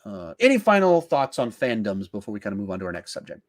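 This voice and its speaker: A man's voice: lowish voice, big pitch swings, fast talker. A man, hosting a podcast and talking film in a casual, conversational style. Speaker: podcast host.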